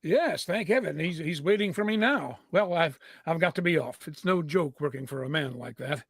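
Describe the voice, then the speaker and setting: Radio play: flustered male underling male actor, high husky register, hesitant and stammering. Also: expressive, moderate pace, no room sound, full character voice. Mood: harried, relieved. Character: Macaulay, flustered, put-upon male employee. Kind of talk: vintage radio drama acting.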